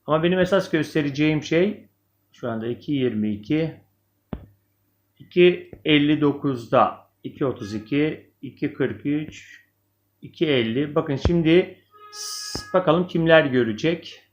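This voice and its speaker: A man's voice: a little high, unhurried, lo-fi sound, slight background noise. A man presenting informal video commentary, speaking directly to viewers in an opinionated, questioning way. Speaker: video host, amateur presenter.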